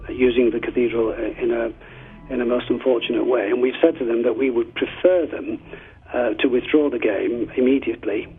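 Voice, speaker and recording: Male cleric interviewee, very rough husky voice, measured and polite, muffled radio sound. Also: medium pitch. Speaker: clergyman, subdean. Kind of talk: media interview.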